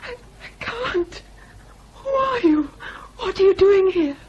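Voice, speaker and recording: An actress playing a frightened young gentlewoman held captive in a radio drama, earnest and pleading. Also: high voice, lively intonation, moderate pace, lo-fi sound, a little hiss or hum.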